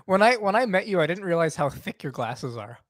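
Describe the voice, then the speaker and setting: A young man in relaxed podcast banter, casual and profane. His voice sits a little high and is nursing a cough. The sound is close and dry, with no room around it. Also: a little fast, no echo. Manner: loose, swearing, laughing banter. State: has a cough. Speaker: podcast co-host. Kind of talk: casual chat.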